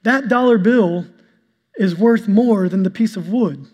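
High-voiced male pastor preaching. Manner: calls himself monotonous and boring-voiced; preaching, shifts to a soft, slow prayer. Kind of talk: sermon. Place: church sanctuary. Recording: long hall reverb with soft instrumental music.

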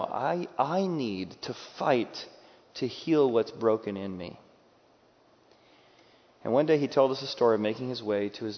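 A man preaching a sermon with dramatic delivery, acting out quoted voices and moving from loud bursts to hushed, quiet lines. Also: medium pitch. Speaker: pastor. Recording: dull sound with long hall reverb.

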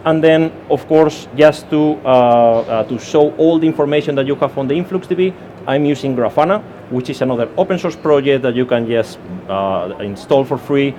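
A man's voice: mid-range voice, moderate pace, mellow, slight background noise. A man from Spain with a Spanish accent, giving a technical conference talk with many fillers and restarts. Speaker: conference speaker. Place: conference session room.

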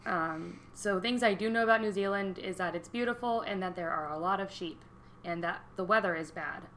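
Young female co-host with a bright voice, chatty and joking, faint hiss behind. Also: fairly low pitch, a little fast. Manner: chatty, joking, laughs often. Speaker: podcast co-host. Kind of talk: casual chat.

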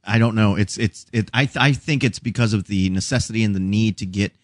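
A man's voice: on the low side, fairly even intonation, brisk, no room sound, clean studio sound. A man chatting casually in a joking, plain-spoken way. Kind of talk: casual chat.

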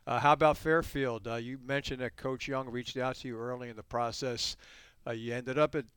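A man's voice: medium pitch, fairly even intonation, brisk, a bit bright, smooth, no echo. A man hosting a sports podcast, asking long conversational questions. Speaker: podcast host. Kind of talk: podcast interview.

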